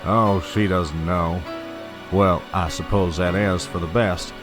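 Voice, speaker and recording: A man acts out a scheming oil baron in an audio drama. His voice sits fairly low over clear background music. He is mock-polite, letting each threat slide out with smug, menacing insinuation. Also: fairly even intonation, slow pace. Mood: smug menace. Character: smooth, scheming male oil tycoon and blackmailer.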